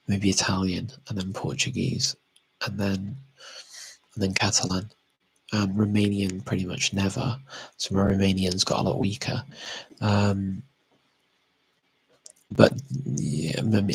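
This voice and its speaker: A man's voice: fairly low pitch, vocal fry, slightly rough, dry recording, poor audio. A man chatting casually and unscripted as he answers audience questions as a language expert, often hesitating and correcting himself as he thinks aloud.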